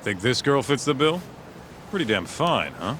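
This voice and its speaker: An actor playing a cocky, casual man making a sly pitch in a video game cutscene. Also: sing-song, moderate pace, fairly bright tone, a little hiss or hum.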